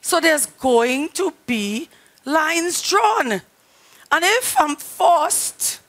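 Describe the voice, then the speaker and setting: A middle-aged woman pastor with a Trinidadian accent, a little hoarse, preaching earnestly to her congregation through a headset mic in a small studio. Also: sits high, sing-song, drawn-out words, fairly bright tone. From Trinidad and Tobago. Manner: earnest, emphatic, direct address to congregation. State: slightly hoarse. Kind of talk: sermon.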